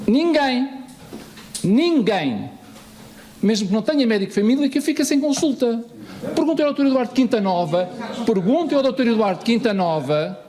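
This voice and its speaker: A man speaks to a municipal assembly in a high, lively voice. He swings his pitch widely, piling up rhetorical questions and repeated phrases with emphasis. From Lisbon, Portugal. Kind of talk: political speech in an assembly.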